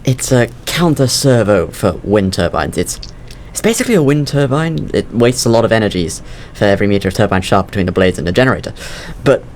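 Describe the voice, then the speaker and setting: Young male, actor as anxious student job applicant, audio drama: lowish pitch, halting, awkward, noisy ambience. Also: expressive, darkish tone. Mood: shyly keen. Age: young adult. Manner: hesitant, stammering, awkward.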